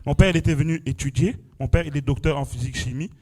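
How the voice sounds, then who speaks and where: A man's voice: on the high side, fast talker, mellow. A young man from France preaching a testimony at a church prayer vigil, exclaiming and punctuating his phrases with "amen" and "alléluia". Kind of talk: sermon testimony.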